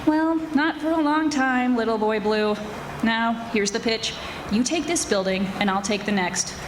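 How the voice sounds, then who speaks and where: A woman playing a wisecracking private detective in 1940s radio mystery style, with brisk, wry, hardboiled delivery. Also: on the high side, background noise, lightly acted. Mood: brisk, bossy. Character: brisk, wisecracking female private detective narrating her case. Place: live stage radio theatre performance. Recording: echoey stage recording with music.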